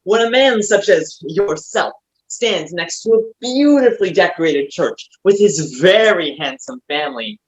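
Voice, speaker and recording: A man playing an eager, dim-witted, flattering manservant with a deferential, hesitant manner, in a comic stage play reading. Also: high-pitched, poor audio.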